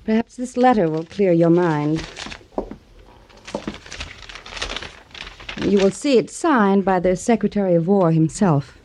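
A woman's voice: lowish voice, moderate pace, dark tone, slight background noise, natural voice. A proud and determined widow of an army officer, played by a woman in a 1950s radio drama. She speaks formally and imperiously, with a bitter, cutting edge. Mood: cool insistence.

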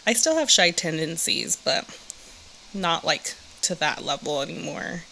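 A woman's voice: low-pitched, animated, a little slow, gravelly, sharp tone, a little hiss or hum, poor audio. A young woman from Southern California, co-hosting a podcast and chatting casually, laughing often and saying "like" a lot. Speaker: podcast co-host. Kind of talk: casual chat.

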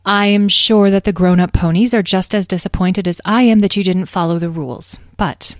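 A woman voicing a caring, resolute mother dragon in a children's story, speaking in a measured, serious manner. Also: medium pitch, fairly even intonation, no room sound, clean studio sound, close to an everyday voice. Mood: grave, reasoning.

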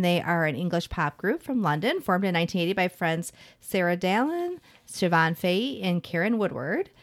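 A woman's voice: fairly low pitch, no echo, clean studio sound. A middle-aged woman with a Chicago-area accent, co-hosting a music podcast and reading out band facts in a friendly, conversational way. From northern Illinois, US. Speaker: podcast co-host. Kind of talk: casual chat.

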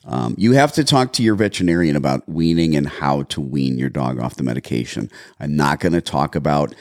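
A man. He explains things emphatically, with lots of ums and a lively rise and fall in his pitch. The sound is dry and close. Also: brisk, gravelly, no room sound. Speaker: dog trainer, podcast co-host. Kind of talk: casual podcast chat.

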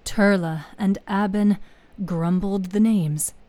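A woman narrating a scripted fantasy story in a solemn, dramatic manner with hushed phrase endings. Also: mid-range voice, steady tone, slow pace, no room sound.

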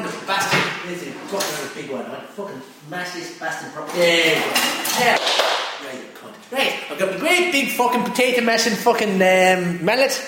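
A man playing a crude, foul-mouthed Irish cook who rants and swears through a cooking parody, in a put-on Irish accent. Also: high-pitched. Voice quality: constant swearing, gruff rants. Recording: very echoey room.

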